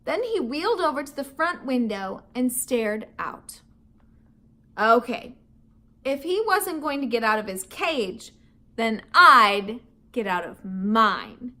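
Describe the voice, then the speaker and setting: A woman's voice: fairly high pitch, bright, forceful tone. A woman teacher reading a children's book aloud and teaching vocabulary words, playful and laughing often, with chatty asides to young listeners.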